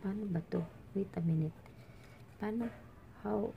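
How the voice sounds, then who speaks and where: A woman's voice: medium pitch, moderate pace, gravelly, narrow, tinny audio, a little hiss or hum. A woman with a Filipino Visayan accent, chatting casually as she demonstrates plant care at a table, mixing English with Tagalog and Bisaya. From Philippines. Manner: chatty, mixes English with Tagalog and Bisaya, talks while working with her hands. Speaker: plant vlogger. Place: indoors at a table with potted plants.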